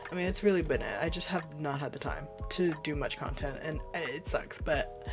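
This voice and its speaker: Hoarse, chatty woman hosting a reaction video. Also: low-pitched, normal speed, faint music behind. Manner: chatty, rambling, lots of "like" fillers. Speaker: YouTube reaction host. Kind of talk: casual reaction-video chat.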